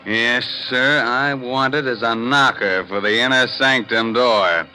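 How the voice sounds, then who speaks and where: A man playing a gleeful, ghoulish old-radio horror host with a drawn-out, mock-sinister delivery and wicked humour. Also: mid-range voice, fairly even intonation, bright, forceful tone, low-quality recording. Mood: gleefully ghoulish.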